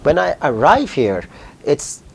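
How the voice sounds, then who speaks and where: A man in his thirties from Pakistan with a Pakistani accent, answering interview questions in a fast, animated way. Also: fairly high pitch, gravelly, a little hiss or hum. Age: 30s. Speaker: guest, company founder.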